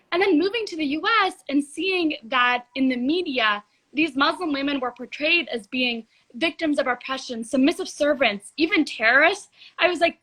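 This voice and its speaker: A woman's voice: high-pitched, bright, forceful tone, low-quality recording. A teenage girl from Egypt, a youth activist, speaking earnestly and fluently as a guest in a live video-call interview. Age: teens.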